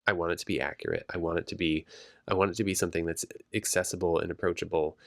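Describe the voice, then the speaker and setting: A man co-hosting a casual late-night podcast at home, talking softly and adding caveats, a bit congested. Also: low voice, steady tone, very fast, gravelly, no room sound, studio quality. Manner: quiet and hedging, laughs easily. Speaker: podcast co-host. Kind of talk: casual chat.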